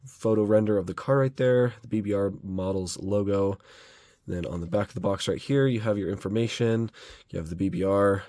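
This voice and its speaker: A young man with a slightly raspy voice from a cold, casually reviewing diecast model cars in a relaxed, rambling way. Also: lowish voice. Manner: relaxed, chatty, rambling with fillers.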